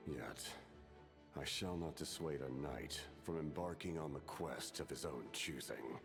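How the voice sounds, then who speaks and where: An actor playing an ancient, weary male wolf god in a video game, with a very deep, gravelly voice and solemn pronouncements. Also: measured pace, over music, full character voice.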